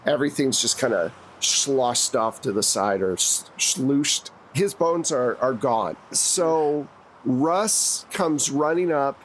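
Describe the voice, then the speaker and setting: A man's voice: mid-range voice, expressive, slow pace, slight background noise, poor audio. A man narrating a tabletop roleplaying game as game master, telling the story conversationally and speaking straight to the players.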